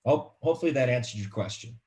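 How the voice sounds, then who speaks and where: Middle aged american guy presenting on a webinar from home, casual, kinda halting, repeats himself. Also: mid-range voice, lively intonation, darkish tone. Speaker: webinar guest presenter, marketing expert. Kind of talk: informal explanatory webinar talk. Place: home room, indoors.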